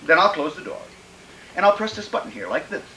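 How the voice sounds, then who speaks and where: A man's voice: sits high, sing-song, fast talker. A man playing a sinister old murderer in a radio thriller, theatrical and menacing, repeating his phrases, by turns gloating and pleading. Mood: gloating menace. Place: playhouse stage, live performance. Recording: roomy live stage recording, faint noise.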